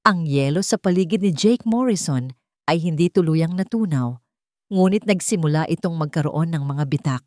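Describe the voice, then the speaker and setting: A woman narrating a scripted love story in a sentimental, dramatic manner with deliberate phrasing. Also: low-pitched, expressive, darkish tone, no echo, clean studio sound.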